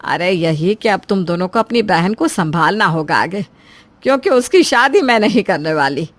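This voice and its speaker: A woman's voice: lowish voice, expressive, normal speed. A woman voicing a protective middle-aged mother of grown children, earnest and fretful, in a family story. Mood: worried. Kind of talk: Hindi family story voice-over.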